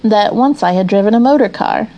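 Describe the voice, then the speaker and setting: A woman's voice: medium pitch, fairly quick, highs cut off, close to an everyday voice. A woman voicing a sly storybook toad who poses as a humble washerwoman, polite and wheedling. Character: sly male toad posing as a meek, feeble washerwoman. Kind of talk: children's storybook character voice.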